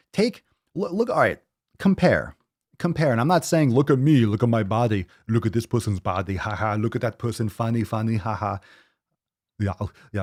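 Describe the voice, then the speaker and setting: A man's voice: lowish voice, a little mellow, no echo, clean studio sound. A man in his thirties hosting a fitness podcast, lecturing bluntly with plenty of swearing. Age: 30s. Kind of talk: ranting livestream talk.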